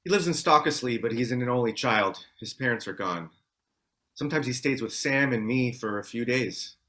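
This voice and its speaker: A male actor as an anxious, protective family man in a dramatic table read. His pitch moves up and down in a lively way as he speaks, at a middle register. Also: moderate pace. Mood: conversational. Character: John, anxious protective husband and father.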